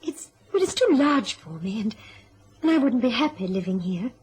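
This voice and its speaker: A woman's voice: a little high, animated, moderate pace, darkish tone, husky. A young woman playing a sweet, self-effacing niece, earnest and hesitant, in a radio drama. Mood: earnest, pleading. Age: young adult.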